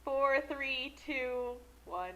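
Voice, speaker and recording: A woman co-host giving casual, excitable comic commentary with a mocking, giggly manner. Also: sits high, drawn-out words, dull audio.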